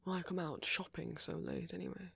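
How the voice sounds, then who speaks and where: A man playing a friendly, flirty vampire in an intimate ASMR roleplay, courteous and gently teasing, with a husky, murmuring voice close to the microphone. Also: sits high, steady tone, moderate pace, no echo, heavily acted. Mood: gentle, teasing. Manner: gently teasing, polite old-fashioned phrasing, soft chuckles. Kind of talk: ASMR roleplay, intimate one-sided dialogue to the listener.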